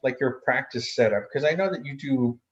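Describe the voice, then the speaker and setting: A middle-aged man hosting a casual medical discussion, asking informal, halting questions over a muffled video call. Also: medium pitch. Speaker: host, gynecologic surgeon. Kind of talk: casual interviewing.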